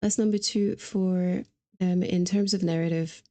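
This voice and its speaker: A woman's voice: fairly low pitch, steady tone, unhurried, mellow. A woman streamer chatting casually about video games in a conversational, easygoing way. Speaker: streamer host.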